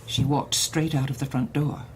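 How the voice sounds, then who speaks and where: A woman's voice: a bass voice, steady tone, moderate pace, a little mellow, a little hiss or hum. A woman playing a stern, controlling older woman who runs a reeducation center, coldly sweet and menacing. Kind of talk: audio drama acting.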